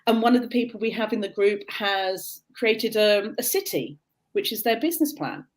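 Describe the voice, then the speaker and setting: A woman's voice: medium pitch, animated, normal speed. Earnest British woman explaining on a call. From Britain. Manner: earnest, explanatory, frequent restarts. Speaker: guest, neurodiversity coach. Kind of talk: conversational interview answers.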